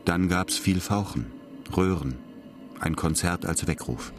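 A man's voice: sits low, unhurried. A man narrating a radio drama in a scripted, literary storytelling style. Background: music.

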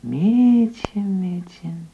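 A wary older widow, brooding and lively. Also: female voice, medium pitch, very slow. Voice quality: lively, questioning. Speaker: widow of a stargazer in a radio play. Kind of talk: radio drama acting. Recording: slightly dull old radio recording.

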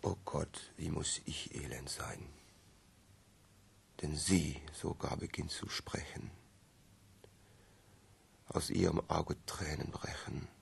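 An actor playing a grave, brooding man solemnly reciting verse in a radio play, his voice very husky and gravelly. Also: a bass voice, fairly even intonation, takes it slowly, long pauses, exaggerated voice. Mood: anguished.

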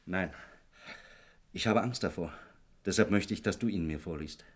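A man's voice: deep voice, normal speed. A man acting an anxious, bereaved young son in a radio drama.

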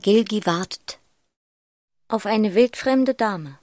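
A woman's voice: medium pitch, takes it slowly, a little mellow, no room sound, clean studio sound, natural voice. A woman narrating a radio drama as a wry, observing inner voice, in short clipped phrases. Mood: terse. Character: female narrator voicing a young woman's wry inner thoughts.

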